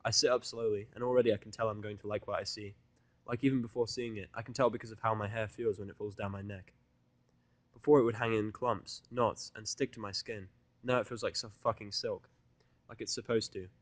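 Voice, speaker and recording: A man's voice: on the low side, fairly even intonation, a little fast, dry recording. Dry-sounding man narrating a story. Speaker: fan fiction narrator. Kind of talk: scripted first-person story narration.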